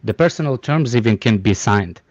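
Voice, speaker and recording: A man whose first language is Russian, talking bluntly in halting English during a casual group chat, teasing the others. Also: fairly low pitch, animated, dry recording, muffled recording. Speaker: panelist.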